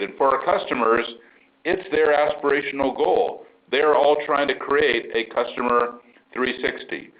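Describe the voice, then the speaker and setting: A man's voice: mid-range voice, muffled recording. A man, a company chief executive, speaking on an earnings call in an upbeat, enthusiastic way, with frequent fillers. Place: remote call line from abroad.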